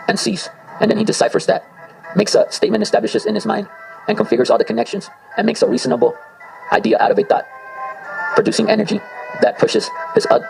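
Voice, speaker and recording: A man's voice: high voice, vocal fry, darkish tone, low-quality recording. A man whose first language is Spanish, freestyling an abstract spoken word monologue, rambling and talking to himself. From Spanish-speaking home. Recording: faint music behind voice. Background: faint circus music.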